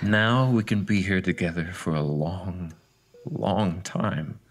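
A man playing a creepy, sadistic captor in a horror audio drama, cooing slowly with false tenderness and menace. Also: deep voice. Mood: possessive menace.

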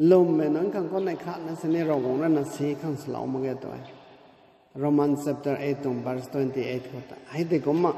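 A man's voice: on the high side, dark tone. Older man preaching in echoey hall. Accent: Northeast Indian. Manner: measured preaching mixed with English words. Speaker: pastor. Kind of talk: sermon. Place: church hall. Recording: echoey hall with long reverb.

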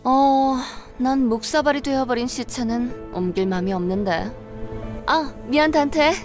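A woman's voice: high voice, unhurried. A woman playing an easygoing, blunt, teasing fighter with a casual way of talking. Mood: nonchalant. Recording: music under voice.

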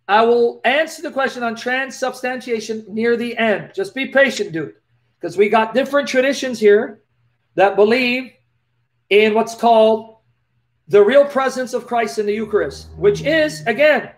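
A man in his forties with a lisp, talking to his webcam in a live question-and-answer stream and reading scripture aloud in an animated, preacherly way. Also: very high-pitched. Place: small dim indoor room. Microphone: laptop webcam, close.

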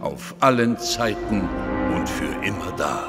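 An actor playing a devout, servile hooded lodge brother in a German audio drama, solemn and ceremonial. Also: male voice, fairly low pitch, animated, a little slow, vocal fry. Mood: solemn, reverent. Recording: cavernous reverb, music behind.

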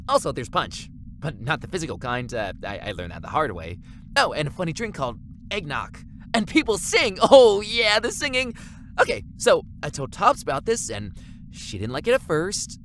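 A woman's voice: fairly low pitch, a bit bright, slight background noise. A woman playing a naive, eager space station custodian who rambles guilelessly in a comedy audio drama.

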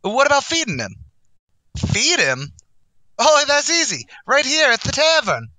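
A man's voice: extremely high pitch, huge pitch swings, a little slow, sharp tone, no echo, heavily acted. An actor playing a brash, boastful male tavern manager, in a wisecracking 1940s radio comedy style. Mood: excited.

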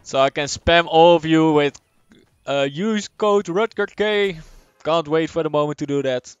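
A young man from the Netherlands with a Dutch accent, chatting casually as he streams a game, answering chat and thinking out loud. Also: fairly high pitch, a little slow, smooth, dry recording, dull audio, faint music behind. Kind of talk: live gameplay commentary, reading chat.

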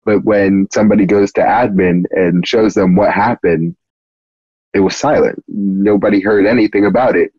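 A teenage boy with a very low, husky voice, speaking in a measured, earnest way. The audio is dull and dry, the kind that comes from a home webcam call. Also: steady tone, darkish tone, no echo. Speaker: interviewee. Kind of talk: documentary interview.

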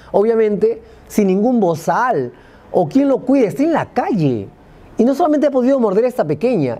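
A man's voice: high-pitched, a little mellow, a little hiss or hum. A young man with a Peruvian accent presenting live news and interviewing, emphatic and given to repeating phrases, in a TV studio. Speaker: news anchor. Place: small TV news studio, seated at desk.

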